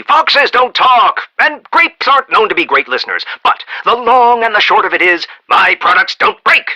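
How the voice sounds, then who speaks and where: Bright, blustery folksy American tycoon inventor. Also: male voice, high voice, exaggerated voice, low-quality recording. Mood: proud, indignant. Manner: folksy, rambling, emphatic. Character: blustery, folksy, self-made Midwestern inventor tycoon, proud and eccentric. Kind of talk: animated video game voice acting.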